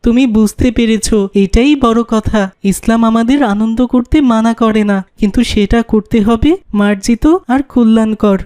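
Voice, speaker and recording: A woman voicing a wise, devout, reassuring young sibling in a children's cartoon, calm and instructive. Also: a little high, moderate pace, few pauses, clear, resonant tone, no echo, studio quality, close to an everyday voice.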